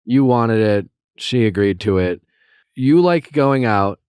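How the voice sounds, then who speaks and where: A man's voice: mid-range voice, expressive, takes it slowly, darkish tone, clear, resonant tone, dry recording, studio quality. A man hosting a podcast who talks callers through their disagreement, summing up each side and steering them straight to the point. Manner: direct, summarizing, steering the talk. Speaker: podcast host. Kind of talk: casual mediation chat.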